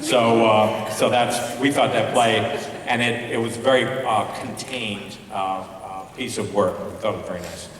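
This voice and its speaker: Halting man in a very echoey hall. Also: on the low side, fairly even intonation, noisy background. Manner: halting, searching for words. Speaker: festival adjudicator giving feedback on plays. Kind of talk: informal spoken critique at an awards ceremony. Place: large hall at a school ceremony. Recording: very echoey hall, distant microphone.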